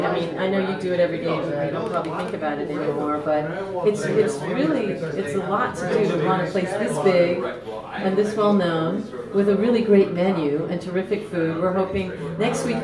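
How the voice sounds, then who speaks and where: A woman's voice: fairly low pitch, brisk. A woman hosting a live music show, interviewing casually in a chatty, encouraging manner. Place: busy live music restaurant. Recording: echoey live venue, distant mic, loud crowd.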